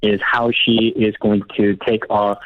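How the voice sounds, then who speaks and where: A man in his thirties from the United States calling a radio show, explaining himself in a measured, hesitant way. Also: on the low side, flat delivery, no room sound. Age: 30s. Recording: tinny phone line, dry.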